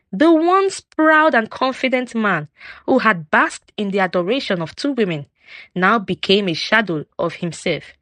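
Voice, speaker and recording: A woman narrating a folktale from a script in a solemn, expressive, dramatic storytelling style. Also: medium pitch, no echo.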